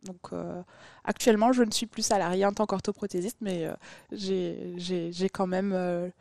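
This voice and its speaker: A woman's voice: fairly low pitch, steady tone, normal speed, vocal fry, darkish tone, no echo. A woman with a southern French accent from Toulouse, a podcast guest chatting casually with many fillers. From Toulouse, France. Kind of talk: casual interview chat.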